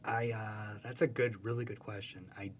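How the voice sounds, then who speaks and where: A man with a fairly low voice speaks as a guest in an informal interview. He is hesitant and thoughtful, feeling his way through answers. There is slight background noise. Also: level tone, normal speed. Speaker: comic strip artist, interview guest. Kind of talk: casual interview chat.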